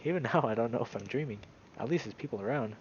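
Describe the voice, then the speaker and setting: A man voicing a shaken, woozy male ram character in a visual novel, halting and confused. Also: mid-range voice, animated, muffled recording, slight background noise, lightly acted. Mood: confused, uneasy.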